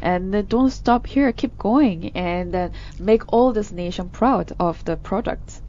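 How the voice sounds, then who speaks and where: A woman's voice: mid-range voice, dark tone, a little hiss or hum. Korean-accented woman cohost, lots of uh's and restarts, bit lo-fi. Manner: halting, with many fillers and restarts. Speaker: co-host of a news discussion show. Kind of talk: casual news discussion.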